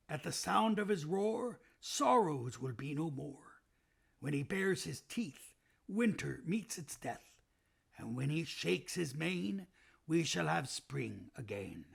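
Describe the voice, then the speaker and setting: A man voicing a gruff, eager male beaver who is proud and kindly, with a rough, strained voice. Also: fairly high pitch, lively intonation, slow, full character voice. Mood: hushed recital.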